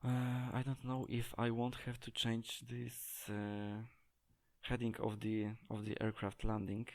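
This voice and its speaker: A man giving an unscripted gameplay tutorial, talking in a hesitant, halting way as he searches for words. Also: medium pitch, monotone. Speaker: game tutorial presenter.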